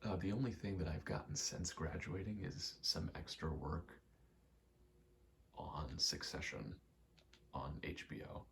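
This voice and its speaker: A man's voice: low voice, unhurried, creaky, long pauses, fairly bright tone, a little hiss or hum. A young American man in his twenties rambles in a casual, candid ASMR chat, swearing now and then. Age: 20s. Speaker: ASMR rambler and aspiring actor.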